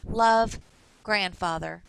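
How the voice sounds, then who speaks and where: A woman's voice: medium pitch, takes it slowly, vocal fry, clear, resonant tone, no room sound, poor audio. A woman reading the part of a kindly, earnest grandfather in his seventies from a storybook. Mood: earnest, caring. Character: kindly seventy-year-old grandfather writing from Kenya.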